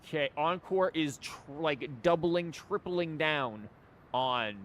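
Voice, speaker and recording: A man's voice: fairly high pitch, lively intonation, takes it slowly, a little hiss or hum. A man doing casual live game commentary as a streamer, rambling and wry. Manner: wry, rambling, mock-quoting. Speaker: game livestreamer.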